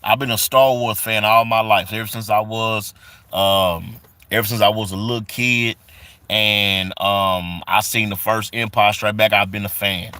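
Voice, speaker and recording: A man in his forties talks on a live stream, reading comments and greeting viewers. His voice is bright and forceful, his delivery loose and repetitive with plenty of fillers. The sound is dry and close to the mic. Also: fairly low pitch, fairly even intonation, a little slow, no echo. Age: 40s. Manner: reads chat comments aloud and greets viewers. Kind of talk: casual live stream chat.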